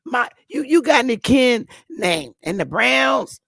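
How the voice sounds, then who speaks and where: A woman voicing a nosy, chatty Southern woman who talks in a high, sing-song drawl. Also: sing-song, unhurried, gravelly, fairly bright tone, breathy edge, dry recording, clean studio sound, heavily acted. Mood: excited. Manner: gushing and familiar.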